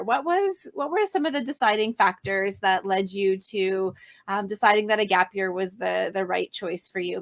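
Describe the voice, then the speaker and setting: A woman's voice: medium pitch, fairly bright tone. A woman hosting an online panel, enthusiastic and chatty with lots of fillers, on a video call. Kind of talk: upbeat live-event hosting.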